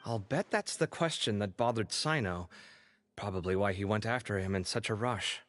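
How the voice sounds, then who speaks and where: A man's voice: on the low side, normal speed, fairly bright tone, slight background noise, natural voice. An actor playing a caring, somewhat fretful young man in a video game, conversational in delivery. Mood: speculative. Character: concerned, expressive young man, a caring friend.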